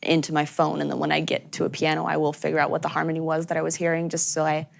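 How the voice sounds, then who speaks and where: A woman's voice: low-pitched, monotone, quick pace, creaky, a little hiss or hum. A young woman with an American accent, raised in Sweden, chatting as a guest musician in an interview, talking animatedly with many fillers and restarts. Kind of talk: casual interview chat.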